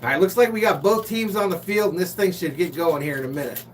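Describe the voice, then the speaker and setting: Informal American man streaming, high-pitched, distant mic. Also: fast. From Los Angeles, United States. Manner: informal, chummy, reads out chat comments. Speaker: live stream sports host. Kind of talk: casual chat with viewers and game commentary. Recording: distant mic, noisy hum.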